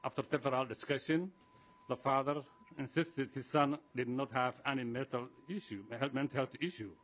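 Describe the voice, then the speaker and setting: A man giving prepared public testimony in a formal, halting way. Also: medium pitch, fairly bright tone. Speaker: community association representative giving testimony.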